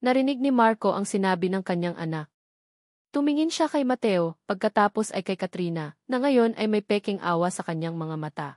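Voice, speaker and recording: A woman's voice: mid-range voice, animated, brisk, darkish tone, no room sound, studio quality. A woman reading a scripted dramatic story as a storyteller.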